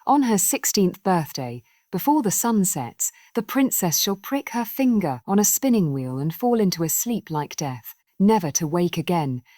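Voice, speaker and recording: A woman voicing a powerful, vengeful dark fairy in a storybook tale, imperious and menacing. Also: fairly low pitch, big pitch swings, normal speed, hardly any pauses, no echo, clean studio sound.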